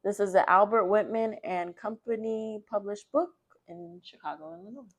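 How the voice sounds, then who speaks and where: A woman's voice: mid-range voice, moderate pace. A woman hosting a children's book read-aloud, chatting conversationally with rambling personal asides.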